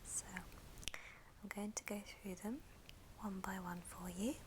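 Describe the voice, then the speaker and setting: A woman whispering gently and breathily in an unhurried ASMR show-and-tell style. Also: mid-range voice, fairly even intonation. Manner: gentle, unhurried, soft-spoken. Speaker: ASMR video creator.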